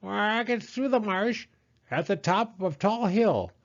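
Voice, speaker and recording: A man's voice: high voice, lively intonation, slow pace, highs cut off, pitched above the performer's own voice, exaggerated voice. A man voicing a fussy, chattering female hen who collects treasures. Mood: chatty.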